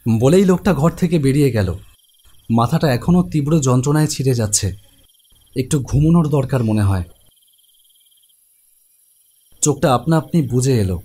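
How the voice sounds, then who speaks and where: A man's voice: mid-range voice, animated, fairly quick, mellow, breathy edge. An actor playing an anxious, haunted man who dramatically tells his own horror story. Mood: uneasy. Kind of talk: dramatic first-person horror narration.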